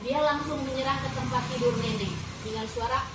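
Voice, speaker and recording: A young woman reading a fairy tale aloud to children, lively and often stopping to ask them questions. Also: high voice, brisk. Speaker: student teacher. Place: classroom. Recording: roomy classroom from far off, background noise.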